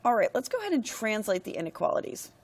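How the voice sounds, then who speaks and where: A woman teacher talks through a math lesson while she records her screen. Her voice is hoarse and gravelly because she is losing it. She explains each step in a steady, methodical way. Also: mid-range voice, fast talker. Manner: steady, step-by-step explaining with frequent "all right, go ahead".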